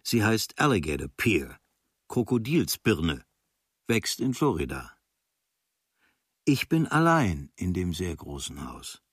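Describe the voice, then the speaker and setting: A man reading a literary travel diary in a measured, observational way, with clipped phrases. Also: low-pitched, big pitch swings, slightly rough, no echo, studio quality. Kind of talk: scripted literary diary narration.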